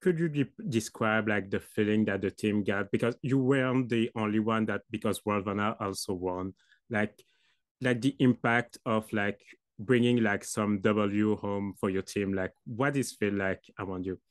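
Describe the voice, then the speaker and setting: A young man asking interview questions, halting and rephrasing as he goes. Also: on the low side. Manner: halting, loosely worded questions. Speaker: student interviewer.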